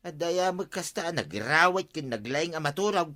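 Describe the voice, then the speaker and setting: An actor playing an exasperated, nagging village husband in a comedy radio drama. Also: male voice, a little high, expressive, moderate pace, bright, forceful tone. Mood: scolding. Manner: nagging, reproachful.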